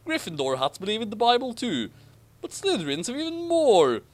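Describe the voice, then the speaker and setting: A man voicing an eager, boastful young schoolboy who talks excitedly in a story reading. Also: high-pitched, brisk, voice pushed up. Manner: excited, declaring confidently.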